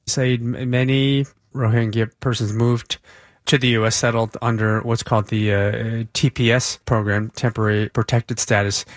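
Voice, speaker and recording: A man works as a radio interviewer. His voice sits at an average male pitch and is recorded very dry, with almost no room sound. He delivers questions and summaries in broadcast news style. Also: little pitch movement, moderate pace, no echo.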